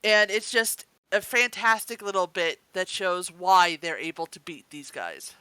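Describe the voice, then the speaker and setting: A woman's voice: fairly low pitch, lively intonation, a little slow, pushed and bright, smooth, no room sound, poor audio. A woman co-hosting a review podcast, explaining plot points in casual chat. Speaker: podcast co-host.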